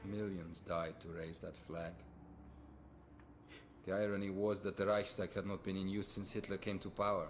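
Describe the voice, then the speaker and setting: A man's voice: deep voice, little pitch movement, moderate pace, background noise, lightly acted. A man acting as a weary Soviet war veteran, giving somber, bitter first-person narration in a video game. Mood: haunted, somber.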